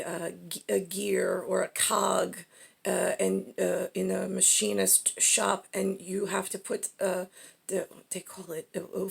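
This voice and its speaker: A woman giving a rambling, off-the-cuff monologue in a halting, digressive way, often breaking off mid-sentence, in a husky, gravelly voice. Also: mid-range voice. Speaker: speaker in a personal monologue.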